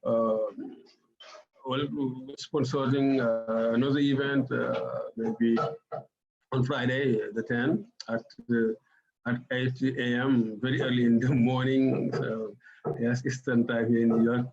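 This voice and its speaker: A man with a Senegalese accent giving a formal speech as an ambassador, halting, with many filler sounds and restarts. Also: medium pitch, little pitch movement, gravelly, dull audio, crowd noise. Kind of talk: formal speech at an online side event.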